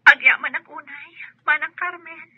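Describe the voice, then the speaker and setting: A woman playing an assertive yet affectionate young married daughter in a radio drama, with a breathy voice. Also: sits high, steady tone, normal speed, no echo, muffled recording, close to an everyday voice. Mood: subdued. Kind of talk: Filipino radio drama acting.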